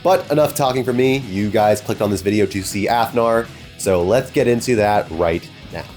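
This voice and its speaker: A man's voice: lowish voice, normal speed, darkish tone. Nasal, congested man hosting a music channel. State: congested, sick. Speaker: host interviewer of a music channel. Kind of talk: enthusiastic fan interview and vlog talk.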